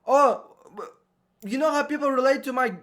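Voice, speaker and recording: High-voiced man. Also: steady tone, moderate pace, a bit bright. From Uzbekistan. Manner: emphatic, ranting, checks in with "okay?". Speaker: vlogger. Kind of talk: opinion monologue to camera. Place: room at home with an air conditioner running.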